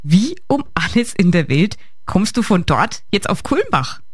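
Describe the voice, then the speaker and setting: A woman's voice: medium pitch, big pitch swings, unhurried, breathy edge, no echo. A woman hosting a radio show from a studio, chatty and colloquial. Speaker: radio host. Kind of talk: lively radio presenting. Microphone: studio microphone.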